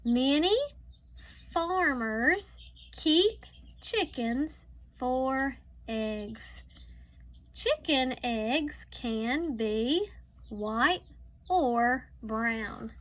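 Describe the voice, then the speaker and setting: A woman teacher reading a picture book aloud to young students, slowly and deliberately, stretching words and adding teaching asides. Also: sits high, expressive, fairly bright tone.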